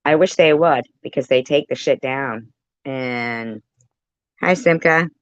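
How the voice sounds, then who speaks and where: A woman's voice: rumbling low, takes it slowly, dry recording. A blunt, combative woman doing reaction commentary, talking back and swearing freely, in a dull, lo-fi recording. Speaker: commentary channel creator.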